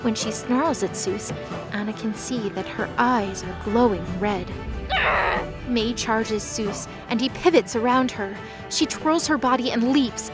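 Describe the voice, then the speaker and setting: Higher-voiced woman narrating dramatically. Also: low-quality recording. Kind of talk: dramatic scripted narration of a fantasy audio drama.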